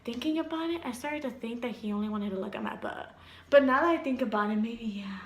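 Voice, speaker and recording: A young woman telling a storytime tale in a vlog, animated and giggly, acting out voices as she goes. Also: fairly high pitch, fast talker. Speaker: vlogger. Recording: echoey room.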